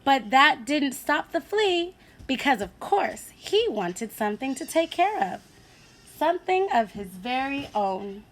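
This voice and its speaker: Animated woman teacher reading a picture book. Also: high-pitched, unhurried, slight background noise. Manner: animated storytelling, asks listeners questions. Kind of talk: children's picture-book read-aloud.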